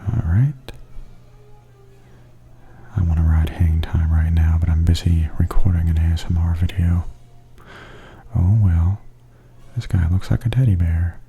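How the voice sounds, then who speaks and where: A man giving softly spoken ASMR tour commentary in a breathy, near-whispered, gentle and unhurried murmur. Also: low-pitched, fairly even intonation, phone-quality sound.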